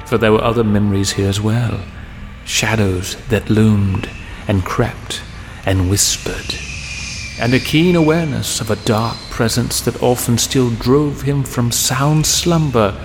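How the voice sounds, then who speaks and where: A male horror host reads scripted narration in a gravelly voice. He is measured, ominous and florid, with faint music underneath. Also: mid-range voice. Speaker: narrator and host of horror audio drama series.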